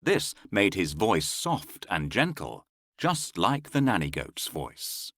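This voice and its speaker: A man's voice: low voice, very animated, slow pace, a bit bright, no echo, clean studio sound. A man narrating a scripted children's bedtime story as storyteller.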